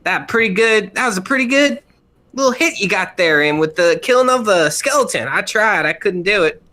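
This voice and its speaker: A man playing a tabletop game, excitable and tripping over his words. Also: sits high, a little fast. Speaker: player. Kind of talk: casual chat.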